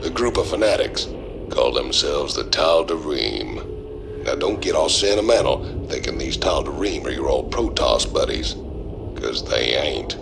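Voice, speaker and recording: An actor playing a brash, greedy mercenary who drawls in folksy slang. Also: male voice, extremely low pitch, big pitch swings, a little slow, vocal fry, noisy background, full character voice. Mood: scheming. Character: brash gravelly mercenary man, greedy and cocky. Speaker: mercenary partner.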